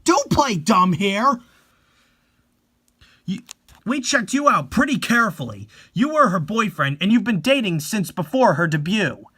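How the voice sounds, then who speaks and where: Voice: medium pitch, big pitch swings, unhurried, sharp tone, slightly rough, voice pushed up, exaggerated voice. A performer voicing an angry young fan club member, aggressive and accusing, in a horror manga reading. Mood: furious, accusing.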